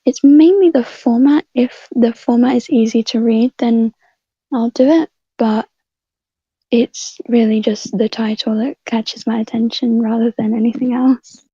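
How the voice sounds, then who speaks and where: A woman's voice: a little high, fairly even intonation, unhurried, mellow, breathy edge, no echo. A woman chatting casually as a voice actor guest, on a dull lo-fi recording. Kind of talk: casual chat. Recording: dull, lo-fi mic sound.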